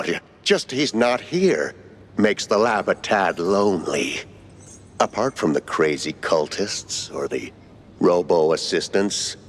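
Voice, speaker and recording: A man's voice: rumbling low, a little hiss or hum. An actor playing an eccentric, obsequious lab caretaker in a cartoonish video game, rambling in a theatrical gothic henchman manner. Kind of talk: cartoonish game cutscene acting. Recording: long echoing reverb.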